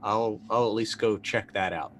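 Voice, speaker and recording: Low-voiced man playing a tabletop game. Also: steady tone, normal speed, a bit bright, a little hiss or hum. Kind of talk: casual improvised game play. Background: faint background music.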